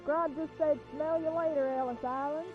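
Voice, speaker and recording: A woman's voice: high voice, fairly even intonation, normal speed, narrow, tinny audio, faint music behind, close to an everyday voice. A woman playing an eager, naive giant supervillain in a comedic radio play. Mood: eager, clueless.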